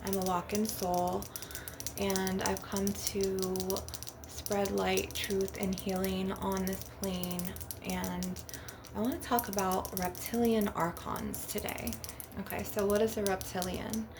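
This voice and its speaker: A woman with a creaky, fry-laden voice at a medium pitch speaks casually to her viewers. She rambles along as an ASMR host with loose, filler-heavy phrasing. Loud background noise, with tapping and clicking, runs behind her voice. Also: flat delivery, takes it slowly. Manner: rambling, with frequent "like" and "you know". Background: crystal and glass tapping, clicks, background noise.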